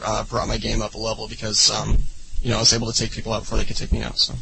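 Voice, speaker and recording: A man's voice: on the low side, completely flat delivery, very fast, gravelly, crowd noise, poor audio. A man co-hosting a casual gaming podcast, rambling through tips with lots of ums and restarts. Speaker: podcast co-host.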